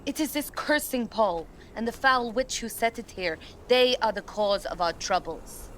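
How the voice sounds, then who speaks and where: A woman's voice: on the high side, expressive, moderate pace, fairly bright tone, slight background noise, rough recording. A woman playing a fierce, scornful Norse fighter in a video game, forthright and challenging in her dramatic delivery.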